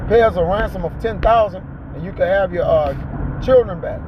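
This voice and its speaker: High-voiced man preaching casually over loud car noise. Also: normal speed, lo-fi sound. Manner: exhorting, colloquial street-preacher talk with "all right?" and "man" tags. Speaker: host of a ride-along talk show. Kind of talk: preachy casual commentary, reading an article aloud and riffing. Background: car and road noise.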